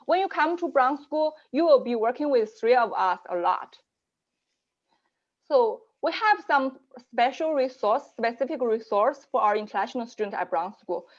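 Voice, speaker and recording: Female program manager, fairly high voice, measured delivery with fillers, slightly dull video-call sound. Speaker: global programs manager hosting an info session. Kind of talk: online info session presentation.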